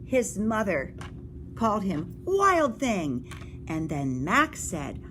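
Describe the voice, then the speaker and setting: A woman reading a picture book aloud to young children as a teacher or librarian would, expressive and engaging, dropping into whispers for suspense and putting questions to the listeners. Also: medium pitch, slow, slight background noise.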